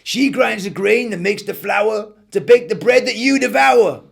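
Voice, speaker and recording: Man voicing delivery driver, high pitch, friendly, laid-back, storybook character. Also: unhurried, vocal fry, heavily acted. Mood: cheerful.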